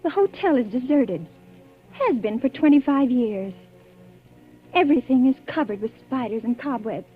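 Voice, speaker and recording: A high-voiced, frightened genteel wife, old radio. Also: female voice, expressive, moderate pace, breathy edge. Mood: weary dread. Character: frightened, pleading new wife, genteel and fragile. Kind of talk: 1940s radio suspense acting. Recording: tinny, band-limited old radio recording with music.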